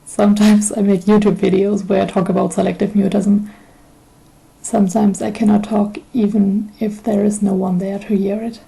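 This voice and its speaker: A woman's voice: mid-range voice, creaky, mellow, poor audio. A woman in her twenties from Germany, a native German speaker, talking in English in an earnest, reflective personal vlog. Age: 20s. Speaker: vlogger.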